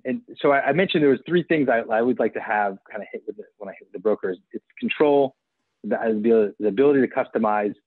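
A man in his forties on a video call, explaining his business in an eager sales pitch with frequent restarts. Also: breathy edge, no room sound. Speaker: auction platform executive. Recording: tinny phone-like video call audio.

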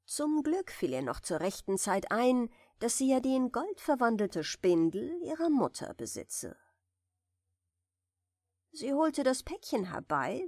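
Slow, gentle woman reading a bedtime tale. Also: medium pitch, dry recording, clean studio sound. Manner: soft, soothing, measured. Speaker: audiobook reader of fairy tales.

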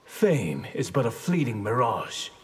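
A stern, solemn ninja clan leader in a fighting game. The voice is husky and mid-pitched, and every line is formal and honour-bound. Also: male voice, big pitch swings, unhurried, exaggerated voice. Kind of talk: video game fight intro dialogue.

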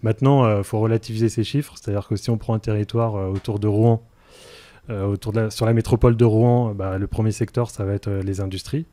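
A man in his forties giving explanatory answers as a radio interview guest, in a halting, hesitant manner with many fillers, close on a studio mic. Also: lowish voice, steady tone, warm tone, no echo. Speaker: interview guest, energy transition project officer. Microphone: close studio microphone.

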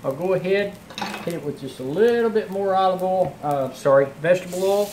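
A man's voice: high-pitched, a little fast, a little mellow, slight background noise, rough recording. Folksy man cooking over sizzle. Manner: folksy, chatty, talks while cooking. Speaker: home cooking show host. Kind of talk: casual cooking demonstration. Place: home kitchen countertop. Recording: sizzling frying pan behind.

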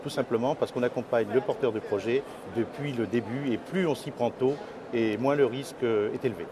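A man in his fifties giving hesitant, measured interview answers as a company executive. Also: mid-range voice. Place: trade fair interview stand, indoors. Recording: clearly noisy exhibition hall ambience.

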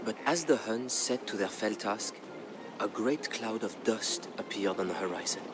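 A man playing a solemn storyteller in a strategy game, narrating war history in a measured, dramatic, deliberate way. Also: on the low side, animated, close to an everyday voice. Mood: grave. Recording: narration over background music. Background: music.

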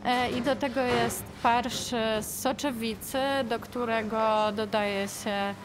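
A woman explaining informally and hesitantly, pausing often between phrases. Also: fairly high pitch, level tone, background noise.

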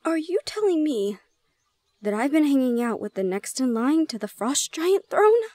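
Woman voicing young gorgon woman, audio drama, high-pitched, shy, easily flustered, incredulous, halting and exclamatory. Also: very animated, dark tone, no echo. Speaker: audio roleplay performer. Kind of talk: audio roleplay drama acting.

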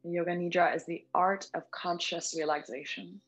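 Female meditation guide, lower register, gentle and unhurried, soft music bed underneath. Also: fairly even intonation, breathy edge. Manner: gentle, soothing, unhurried with long pauses. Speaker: yoga nidra meditation instructor. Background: background music.

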